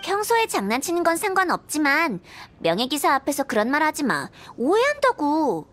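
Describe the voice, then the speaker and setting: A woman's voice: high-pitched, huge pitch swings, moderate pace, a bit bright, full character voice. An actress playing a cheerful, friendly young woman knight who talks in a frank, bubbly way in a video game.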